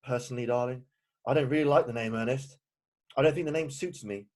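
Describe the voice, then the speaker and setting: A man playing a nervous, ardent young gentleman suitor in an amateur play read-through, hesitant and stammering, over a video call. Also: medium pitch, fast, close to an everyday voice. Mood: anxious, hesitant.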